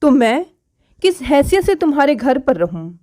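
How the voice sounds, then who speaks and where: A woman narrator plays a proud modern wife in a family audio story. Her voice is fairly high, indignant and challenging, full of pointed rhetorical questions. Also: very animated, normal speed, mellow. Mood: indignant, hurt.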